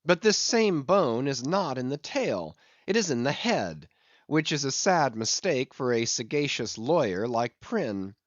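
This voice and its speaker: A man narrates a classic literary audiobook in a medium-pitched voice with very mobile intonation. His phrasing is deliberate and a little theatrical, suited to ornate prose. The recording is dry and close, though somewhat dull and lo-fi. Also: very animated, dry recording. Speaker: audiobook reader.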